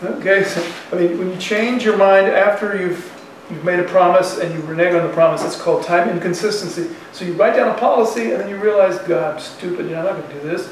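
A man's voice: high-pitched, fairly even intonation, fast, hardly any pauses. A man in his sixties, a professor giving an informal lecture in a colloquial, conversational way, thinking aloud. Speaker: university economics professor. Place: university classroom in front of chalkboard. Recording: echoey classroom, distant mic, faint noise.

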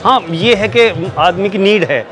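A man's voice: high voice, a little slow. A man, hosting an on-location interview and affirming what he is told. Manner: repeats and affirms answers. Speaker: interviewer, channel host. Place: indoor trade fair booth. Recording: busy exhibition hall crowd, background music. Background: crowd chatter and music.

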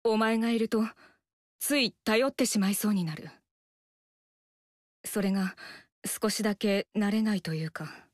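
A woman's voice: medium pitch, a little slow, pauses often, no room sound, studio quality, natural voice. An actress voicing a stern, earnest young woman warrior in a video game, speaking in a formal, stiff manner. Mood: stiff sincere. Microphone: studio mic, dry.

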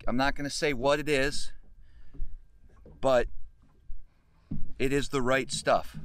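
A man in his forties chatting casually to camera as a fishing vlogger, laid-back and halting as he thinks aloud, recorded outdoors on a boat. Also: mid-range voice, steady tone, a bit bright. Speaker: fishing vlog host. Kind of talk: casual chat, explaining to camera. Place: open boat deck on a lake, outdoors. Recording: outdoor camera audio with clear boat noise.

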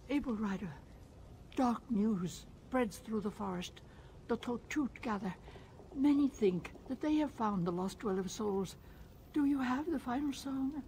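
Slow solemn alien wise woman, mellow, cryptic, game voice, faint noise. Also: on the high side, lively intonation. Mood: solemn, urgent. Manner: cryptic, commanding, measured. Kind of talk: video game dialogue acting.

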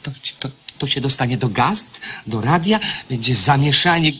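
An actor playing a cowardly, treacherous schemer in a radio drama, servile and wheedling, with a husky voice. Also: male voice, mid-range voice, animated, normal speed, pushed and bright. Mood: scheming, eager.

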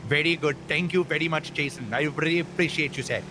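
A man's voice: on the high side, fast talker, noisy background, close to an everyday voice. A man putting on an overly polite customer with an Indian accent. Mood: polite, eager. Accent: put-on Indian. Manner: overly polite, says sir constantly. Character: fast-talking man with put-on Indian accent, phone customer. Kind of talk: roleplay phone call in a game.

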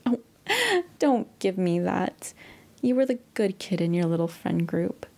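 Doting middle-aged mom for ASMR roleplay, woman performer: soft, mellow, breathy, unhurried, tender and a little hesitant. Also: fairly low pitch. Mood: tender, nostalgic. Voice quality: breathy, often near a whisper. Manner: unhurried, affectionate, hesitant, with soft sighs and chuckles. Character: doting middle-aged mother, sweet and nostalgic. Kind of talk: intimate ASMR-style roleplay monologue.

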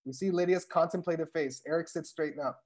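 A man's voice: fairly high pitch, fast, a little mellow, slight background noise. A man narrating a script at a table read, reading the stage directions aloud over a video call. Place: indoors at home on a video call. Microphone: video call microphone.

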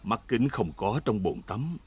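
A man's voice: fairly low pitch, very animated, measured pace, background noise. A man narrating a children's cartoon from a script. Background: music.

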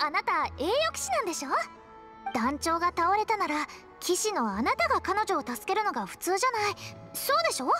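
A caring young woman in a video game, earnest and gently insistent. Her voice is very high and expressive, with music playing underneath. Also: fairly quick, heavily acted.

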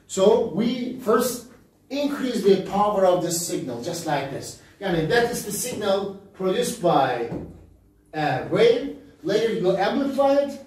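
A man's voice: high-pitched, very animated, unhurried, a little mellow. Older Turkish-accented man lecturing in echoey room. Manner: repetitive, step-by-step explaining in short phrases. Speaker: physics teacher. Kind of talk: classroom lecture explanation. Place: small indoor classroom at a smartboard. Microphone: camera several metres away. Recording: very echoey room, distant microphone.

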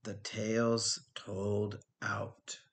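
A man's voice: fairly low pitch, little pitch movement, extremely slow. A middle-aged man narrating a children's storybook expressively, as a teacher reading aloud.

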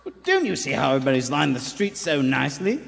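A woman playing a gruff, blustering, bossy police officer in a live radio play. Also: low voice, very animated, fast talker, heavily acted. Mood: stern. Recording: noisy theater hall, from stage.

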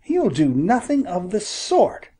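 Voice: mid-range voice, huge pitch swings, takes it slowly, dark tone, breathy edge, pitched above the performer's own voice, exaggerated voice. A reader voicing a young farm boy who fiercely scolds a hunter while protecting a wounded bird, in an audiobook. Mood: indignant. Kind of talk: audiobook character voice.

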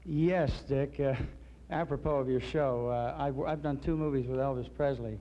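A man in his thirties, an actor guest on a 1960s TV interview in a studio, answering in a relaxed, easygoing way with many fillers. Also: mid-range voice, moderate pace. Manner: relaxed, easygoing, many ums and you-knows. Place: TV studio with curtain backdrop. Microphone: host's handheld mic. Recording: lo-fi 1960s TV broadcast with light hiss.

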